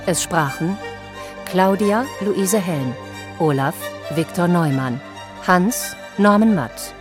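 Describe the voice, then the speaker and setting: A woman announcer reading scripted credits in a measured, formal manner. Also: fairly low pitch, over music.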